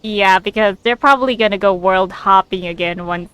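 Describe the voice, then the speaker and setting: A woman casually commentating as she plays a video game, answering the characters aloud in a relaxed manner. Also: mid-range voice, moderate pace, smooth, rough recording. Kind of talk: casual gameplay reaction chat.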